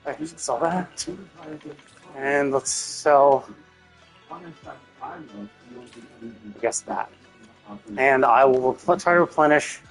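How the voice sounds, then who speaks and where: A man's voice: mid-range voice, slow, pauses often, faint music behind. A man doing casual live game commentary, thinking aloud in a rambling way and swearing casually.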